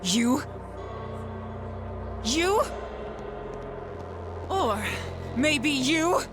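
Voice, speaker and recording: A dignified warrior woman in a video game. Her delivery is slow, proud and formal, set over clear background music. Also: high voice, animated, full character voice. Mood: indignant, defiant. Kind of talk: dramatic video game acting.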